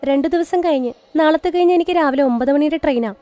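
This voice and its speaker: A woman's voice: high voice, fast talker, dry recording, pitched above the performer's own voice, lightly acted. Woman voicing Charu, a shy young bride. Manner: gentle, pleading. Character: poor young bride, shy and soft-spoken.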